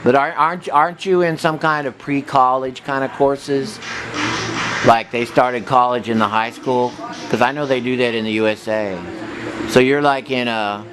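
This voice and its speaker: A woman's voice: rumbling low, fairly even intonation, moderate pace, noisy background. A woman in her fifties, a charity organizer chatting with teenagers, teasing them and often trailing off and restarting her questions. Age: 50s. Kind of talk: casual chat, asking questions.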